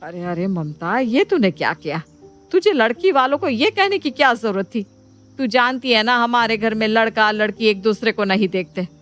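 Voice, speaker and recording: A woman voicing an eager, trusting middle-aged mother in a dramatised moral story, chatty and expressive. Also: fairly high pitch, normal speed, faint music behind. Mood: mildly reproachful.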